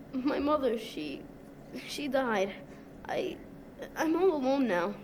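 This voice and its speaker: A woman's voice: fairly high pitch, slight background noise. A woman voicing an earnest, morbid and desperate young orphan boy in a fantasy game, with a plaintive manner. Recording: distant voice, faint game ambience.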